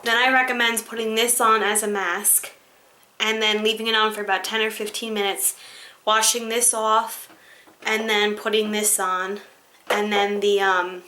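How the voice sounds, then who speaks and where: A young woman in a casual skincare tutorial, chatty with many fillers, her voice a little hoarse from being sick. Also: mid-range voice, level tone, moderate pace, sharp tone, a little hiss or hum. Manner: chatty, demonstrating while talking, many ums and likes. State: slightly hoarse, recovering from illness. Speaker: beauty vlogger.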